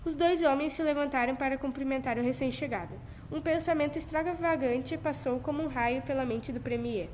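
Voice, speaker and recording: Brazilian girl, young teen, high voice, scripted radio-drama narration, faint noise. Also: fairly quick, hardly any pauses, a little mellow. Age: young teenager. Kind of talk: scripted narration, 1940s-style school radio.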